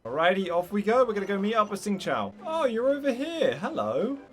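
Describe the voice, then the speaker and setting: A woman's voice: medium pitch, a little fast, noisy background. A woman hosting a gaming video, chatting casually and reacting briefly as she plays through a story quest. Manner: relaxed, reads lines aloud and reacts briefly. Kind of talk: casual gameplay commentary. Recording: game music loud over voice. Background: game music.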